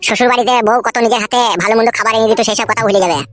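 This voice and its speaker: A woman's voice: fairly high pitch, fairly even intonation, rapid-fire, natural voice. A woman voicing a blunt, cynical male friend who preaches and teases in comic cartoon dialogue. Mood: mocking, preachy. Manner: rambling, preachy, teasing.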